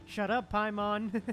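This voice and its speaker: A woman streamer giving casual, laid-back reaction commentary over a game. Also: medium pitch. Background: game music.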